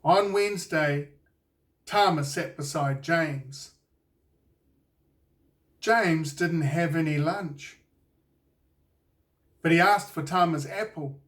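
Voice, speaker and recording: A man with a New Zealand accent reading a children's storybook aloud in a deliberate, expressive storytelling way. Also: fairly high pitch, distant mic. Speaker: children's author reading his own book. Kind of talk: scripted storybook narration for children.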